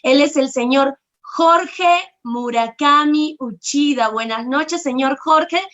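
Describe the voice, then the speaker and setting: A woman's voice: sits high, slow pace, a bit bright, dull audio. A woman hosting an online talk, introducing the guest politely and formally. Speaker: host.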